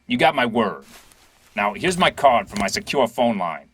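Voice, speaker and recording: A man's voice: fairly low pitch, big pitch swings, moderate pace, a bit bright, breathy edge, full character voice. A man acting as a secretive, reassuring police officer in an audio drama, speaking confidentially.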